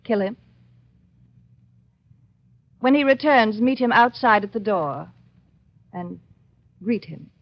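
An actress playing a gracious, coldly scheming colonial wife in an old radio drama, with a measured, coaxing, commanding manner. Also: female voice, on the high side, animated, heavily acted. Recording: dull lo-fi old radio recording.

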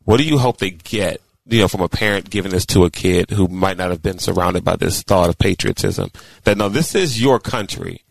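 A man co-hosts a talk show in a low, gravelly voice. He is friendly and laughs easily. He restarts his sentences often. The sound is close and dry. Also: fairly quick, few pauses, no echo, rough recording. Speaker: co-host. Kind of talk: casual radio talk show conversation.

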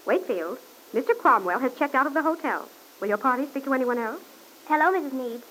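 An actress playing a brisk, formal switchboard operator in an old radio serial. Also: high voice, expressive, pushed and bright. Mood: businesslike. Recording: noisy vintage radio recording.

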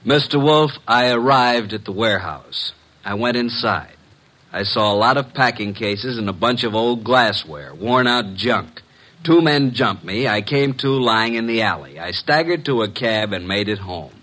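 A man's voice: on the low side, expressive, measured pace, sharp tone, full character voice. A man playing a cocky, sarcastic detective's legman in an old-time radio drama. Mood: weary, wry. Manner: sarcastic, breezy wisecracks. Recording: slightly noisy old radio broadcast.

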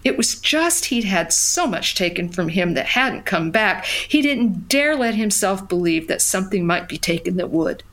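An actress playing a wistful, wonderstruck woman who tells her memories conversationally in an audio drama, with halting repeats. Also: mid-range voice, expressive, fairly bright tone, natural voice. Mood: wistful wonder. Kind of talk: first-person storytelling in an audio drama.

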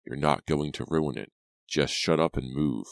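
A man's voice: rumbling low, moderate pace, creaky, dry recording, clean studio sound. A man voicing an angry young male kidnapper in an audiobook, stern and growling through clenched teeth.

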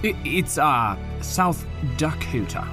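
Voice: medium pitch, expressive, slow, over music. An actor playing a practical, fussy co-narrator, hesitant and matter-of-fact, in scripted audio comedy banter. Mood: awkward.

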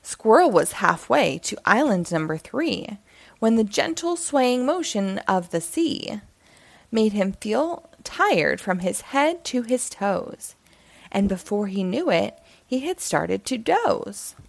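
A woman reading a rhyming children's picture book aloud in an expressive storytelling style. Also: medium pitch, slow pace, vocal fry, no room sound.

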